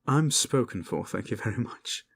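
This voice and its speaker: A man's voice: medium pitch, animated, moderate pace, creaky. A man playing a weary, modest and loyal knight-captain, sombre and earnest, in a fantasy audio drama. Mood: dry, fond.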